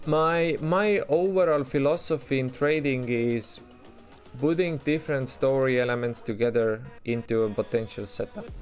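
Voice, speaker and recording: A man's voice: medium pitch, moderate pace, faint music behind. A man with an Estonian accent, talking in a loose, chatty way as he streams live and answers his chat, with many restarts and fillers. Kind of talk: casual live-stream commentary.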